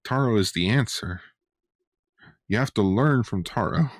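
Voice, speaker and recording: A man's voice: lowish voice, unhurried, dry recording, clean studio sound. A man co-hosting a podcast, chatting casually as a fan with joking speculation. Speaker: podcast host.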